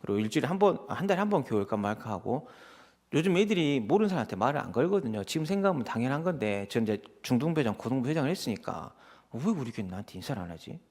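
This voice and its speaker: A man's voice: mid-range voice, very fast. A man, preaching a conversational sermon full of personal anecdotes and acting out quoted exchanges. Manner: animated storytelling, acts out quoted exchanges. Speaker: associate pastor preaching.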